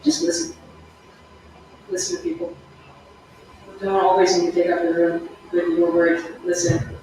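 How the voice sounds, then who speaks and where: Lively moderator in a big echoey hall. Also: medium pitch, measured pace. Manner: animated, gently emphatic. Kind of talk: panel discussion. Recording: distant, echoey room, background noise.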